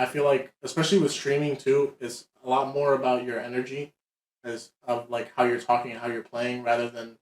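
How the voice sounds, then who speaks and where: A young man co-hosting a podcast in casual chat, who swears freely and laughs a lot. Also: medium pitch. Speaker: podcast co-host, streamer. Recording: echoey room.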